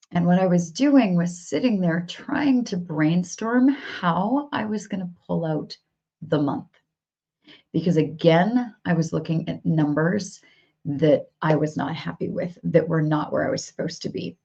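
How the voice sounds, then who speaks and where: A woman business coach hosting a live coaching stream, her voice hoarse from cheering, upbeat and repeating phrases as she talks straight to viewers. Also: low voice, mellow. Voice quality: gravelly. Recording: dull lo-fi livestream audio.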